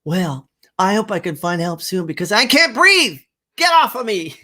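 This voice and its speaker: A man's voice: mid-range voice, moderate pace, voice pushed up, lightly acted. A man reading aloud, voicing a playful, loving woman in her thirties in a raised voice.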